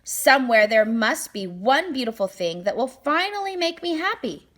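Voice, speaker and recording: A woman's voice: high-pitched, big pitch swings, normal speed, a bit bright, pitched above the performer's own voice, full character voice. A greedy storybook king, acted out by a woman reader, speaks with imperious force. He is sullen and demanding, leaning hard on his words as if every one were an order. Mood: longing.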